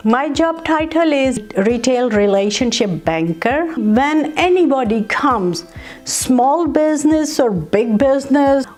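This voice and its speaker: Slow-speaking woman banker. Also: on the high side, darkish tone. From Pakistan. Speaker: retail banker. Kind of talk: interview testimonial. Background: faint background music.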